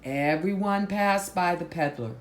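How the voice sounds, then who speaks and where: A woman reading a picture book aloud to children, phrasing deliberately and stressing words for drama. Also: on the low side, a little hiss or hum. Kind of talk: slow, expressive picture-book read-aloud.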